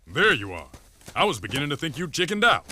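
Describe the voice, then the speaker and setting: A man's voice: on the high side, sing-song, fast, pushed and bright, faint music behind, exaggerated voice. A man voicing a tough, streetwise mercenary in a video game, cocky and swaggering. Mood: gleeful, swaggering. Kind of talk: video game cutscene acting.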